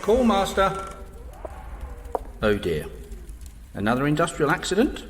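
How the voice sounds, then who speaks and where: An actor playing a dutiful, dryly unflappable servant to a vampire in a comedy audio drama, deferential in manner. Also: male voice, a little high, wildly swooping pitch, a little slow, a little hiss or hum. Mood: dryly amused. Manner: deferential, dry. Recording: long echoing hall reverb.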